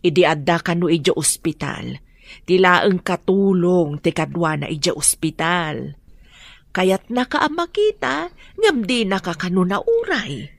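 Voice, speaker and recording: A woman playing a frank, sympathetic middle-aged friend in a radio drama, with a Filipino Ilocano accent and a husky voice. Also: fairly low pitch, expressive, unhurried, no echo, heavily acted.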